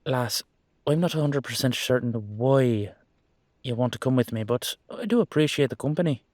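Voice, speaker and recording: Proud, defensive otherworldly gentleman voiced by a male actor, formal and insistent, pushed louder, dry roleplay-drama recording. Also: medium pitch, lively intonation, normal speed, dry recording, lightly acted. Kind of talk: roleplay audio drama, one-sided conversation.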